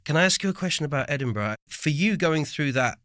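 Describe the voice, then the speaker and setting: A man's voice: fairly high pitch, lively intonation, quick pace, a bit bright, no echo, studio quality. A man co-hosting a podcast, asking loose, rambling questions full of fillers. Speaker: podcast co-host. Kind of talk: casual podcast conversation. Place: recording studio.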